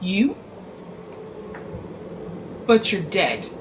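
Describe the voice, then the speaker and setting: A woman's voice: medium pitch, very animated, drawn-out words, distant mic, background noise. A woman in an audio drama playing a fierce, scornful, theatrical villain. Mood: scornful disbelief. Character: fierce, theatrical female villain, cornered and commanding.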